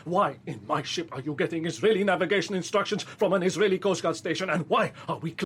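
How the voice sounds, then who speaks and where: Husky, proud ship's captain. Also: male voice, high voice, fast, a bit bright. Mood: indignant. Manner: blunt, indignant, formal. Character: proud, suspicious male ship's captain, stubborn and indignant. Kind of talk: radio drama acting.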